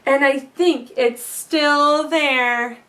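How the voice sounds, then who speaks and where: A woman voicing an excitable, imaginative little male bear cub in a storybook, squealing and exclaiming, distant from the mic. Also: sits high, very slow, slight background noise, exaggerated voice. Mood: alarmed, excited. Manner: squealing, wide-eyed exclaiming. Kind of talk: children's storybook read-aloud.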